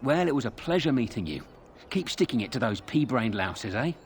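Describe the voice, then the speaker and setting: An actor playing a sly, chummy street thief in a video game, cheeky and ingratiating. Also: male voice, mid-range voice, sing-song, a little fast, a little hiss or hum. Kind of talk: video game dialogue acting.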